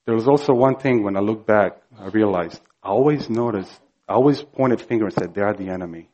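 A man from Croatia with a Croatian accent, preaching a sermon earnestly and emphatically, slow and building to fervor. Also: lowish voice, mellow, dull audio. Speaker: pastor.

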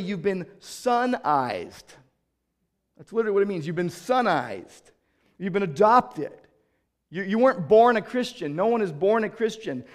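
A pastor preaching a sermon with emphasis, switching between loud declarations and hushed asides, and often repeating words as he starts a sentence. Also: sits high.

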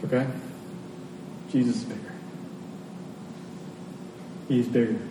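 A man's voice: medium pitch, little pitch movement, slow. A man preaching a sermon to a congregation, speaking emphatically and repeating phrases for effect. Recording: very echoey hall, distant mic, faint noise.

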